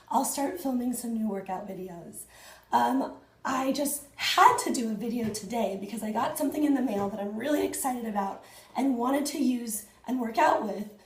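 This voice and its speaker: Woman, 30s, hoarse and husky, excitable fitness vlogger, talks straight to viewers, roomy echo. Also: on the high side. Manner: chatty and excitable, addresses viewers directly. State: hoarse, losing her voice. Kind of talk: casual unboxing vlog. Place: small indoor room at home.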